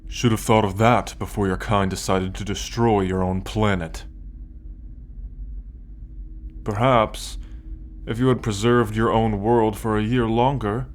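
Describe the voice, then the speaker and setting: A man playing an arrogant, possessive alien soldier in an ASMR roleplay, condescending and menacing, speaking with deliberate pauses. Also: on the low side, slight background noise, natural voice. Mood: haughty, lecturing. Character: arrogant possessive male alien soldier, cold, darkly amused captor.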